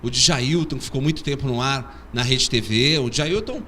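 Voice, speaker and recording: A man's voice: mid-range voice, normal speed, a little hiss or hum. A man from São Paulo with a Paulistano accent, a comedian telling stories about his childhood in an interview. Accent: Paulistano Brazilian Portuguese. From São Paulo, Brazil. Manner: animated storytelling. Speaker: comedian guest. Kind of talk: interview chat.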